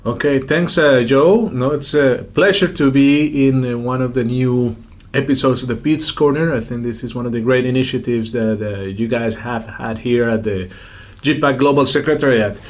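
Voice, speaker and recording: A man's voice: mid-range voice, moderate pace. A middle-aged man giving reflective interview answers, circling and repeating words as he feels his way. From Colombia. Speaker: interview guest, executive director of an NGO.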